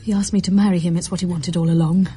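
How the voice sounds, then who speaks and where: An actress playing a fragile but determined young mother in distress, speaking haltingly and emotionally in a radio drama. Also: lowish voice, flat delivery, dark tone, faint music behind, close to an everyday voice. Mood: distressed confessing. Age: young adult. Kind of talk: naturalistic radio drama acting.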